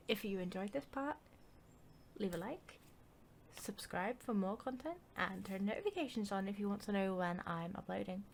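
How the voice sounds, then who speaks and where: Casual woman gamer, mid-pitched. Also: vocal fry, a little hiss or hum. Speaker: gaming video host. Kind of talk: casual let's-play commentary.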